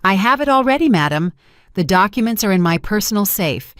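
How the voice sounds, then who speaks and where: A woman's voice: medium pitch, big pitch swings, brisk, a bit bright, dry recording, studio quality, pitched above the performer's own voice. A woman voicing a loyal male aide, formal, deferential and businesslike, in a story. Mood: deferential, efficient. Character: loyal, efficient male aide reporting to his boss.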